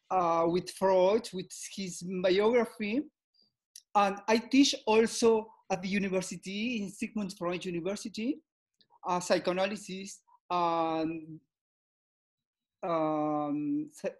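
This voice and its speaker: A man in his fifties from Peru, answering interview questions in halting English and often repeating words. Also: high-pitched. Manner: halting, repeats words, non-native phrasing. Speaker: guest psychoanalyst.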